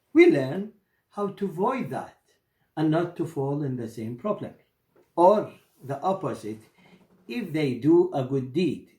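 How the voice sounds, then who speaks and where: A middle-aged man from Iraq, a native Arabic speaker with an Iraqi accent, storytelling and explaining in deliberate, expressive, halting phrases. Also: medium pitch. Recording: roomy echo.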